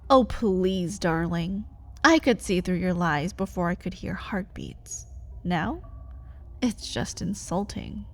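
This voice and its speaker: A woman playing a feral, hungry vampire wife in an ASMR roleplay, mocking and manipulative in manner. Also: slow. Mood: sly, taunting. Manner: taunting, sardonic, swings from low menace to pleading.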